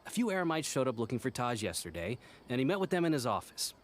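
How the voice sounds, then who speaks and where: A man's voice: medium pitch, sing-song, quick pace, close to an everyday voice. A man voicing a tired, patient office worker in a game. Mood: weary. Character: weary male office worker, mildly worried. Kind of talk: game character dialogue.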